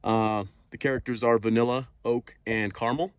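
A man's voice: medium pitch, fairly even intonation, moderate pace, clear, resonant tone, dry recording, studio quality. A man in his thirties, a hiking vlogger, chatting casually and upbeat outdoors by a mountain lake into a handheld action camera. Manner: laid-back, upbeat, laughs easily. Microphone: action camera held at arm's length.

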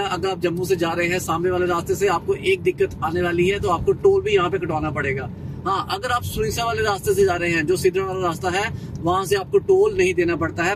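A middle-aged man talks to his viewers in a friendly, chatty way, explaining everything he passes. His voice sits a bit high for a man. He films himself on a phone at arm's length, with faint road and outdoor noise behind him. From Jammu, India. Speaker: travel vlogger. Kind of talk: casual travel vlog narration. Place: in a car, then outdoors by a lake. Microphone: phone camera at arm's length.

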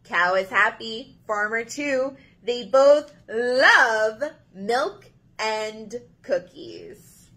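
A woman's voice: fairly high pitch, expressive, extremely slow, bright, forceful tone. A woman in her thirties, a children's librarian reading aloud and leading rhymes in an encouraging, sing-song way. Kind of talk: children's storytime read-aloud and action rhyme. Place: small indoor room.

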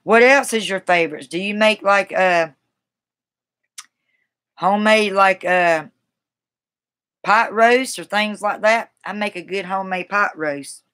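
Middle-aged woman, Carolina Southern accent, medium pitch, folksy and rambling livestream host chatting at home. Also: measured pace, long pauses, a bit bright. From South Carolina, United States. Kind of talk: casual chat, responding to viewers. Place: small room at home, indoors.

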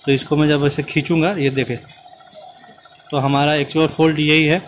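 A young man talks through a car repair step by step as he works, with a slightly higher voice than most men. He is recorded close on a phone outdoors by the car. A faint hum of traffic and vehicles sits behind him. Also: little pitch movement, normal speed. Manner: explains step by step while working. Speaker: car mechanic. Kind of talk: hands-on repair tutorial. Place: inside a car and at the open engine bay, outdoors.

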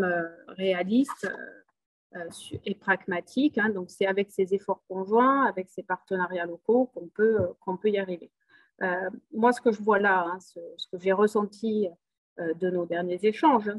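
A middle-aged woman with a southern French accent speaks over a video call, earnest and upbeat. Her talk is halting, filled with fillers and repeated words. The sound is dry and close, with a voice of middling pitch. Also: dry recording, poor audio. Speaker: rail company executive speaking at a webinar. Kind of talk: spontaneous webinar speech.

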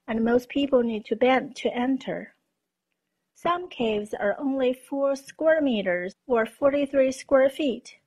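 A woman presenting scripted documentary narration in a measured, explanatory manner. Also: a little high, husky, low-quality recording.

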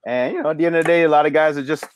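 A middle-aged man, a barber guest, chatting casually on a video call and telling stories with lively acted-out quotes. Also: on the high side, very fast. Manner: animated storyteller, acts out quoted lines. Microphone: video call mic.

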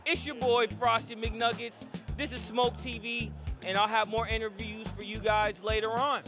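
A man with a high-set voice hosts a casual interview on a handheld microphone at a convention. He talks in an animated, slangy way and repeats phrases to hype things up. Music plays behind him. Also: normal speed. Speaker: show host interviewer. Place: indoor convention hall booth.

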